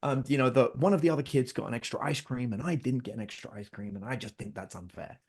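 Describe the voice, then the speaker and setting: A fast-talking middle-aged man who tells stories about his children, his words tumbling out quickly. He switches voices to play each person in the scene and keeps the chat casual and animated. His voice sits at an ordinary middle pitch. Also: husky. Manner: animated, acts out his kids' and his own lines. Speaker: podcast guest. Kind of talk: storytelling in casual conversation.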